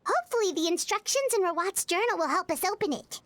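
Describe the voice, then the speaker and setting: A woman voicing a small, excitable female companion sprite in a game, eager and bouncy. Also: very high-pitched, no echo. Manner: eager, bouncy, quick to chime in.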